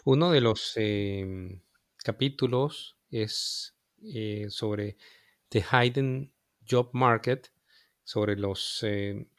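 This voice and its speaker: Low-voiced male podcast host. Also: extremely slow, no room sound, studio quality. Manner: friendly, complimentary, hesitant fillers. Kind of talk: casual interview talk.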